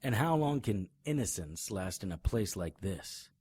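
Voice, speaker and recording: An actor playing a brooding, wry investigator who narrates a noir-style audio drama in a dry, hard-boiled manner. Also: male voice, on the low side, animated, unhurried, a little mellow, slightly rough, no echo. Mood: defiant. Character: brooding, wry, stubborn male supernatural investigator. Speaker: narrator and lead character.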